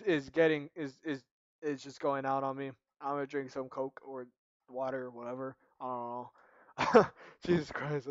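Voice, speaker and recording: A young man playing a horror game at home into a headset mic, panicky and jumpy, his voice going hoarse. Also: a little high, dry recording, lo-fi sound. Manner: jumpy, panicky, screams and mutters. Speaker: gaming YouTuber. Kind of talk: horror game let's play commentary. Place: small room at home, indoors.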